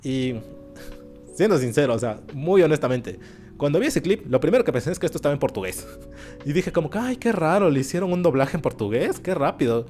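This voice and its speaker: A man giving animated, sarcastic commentary in an opinion video. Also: a little high, fairly quick. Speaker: YouTube commentator. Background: faint background music.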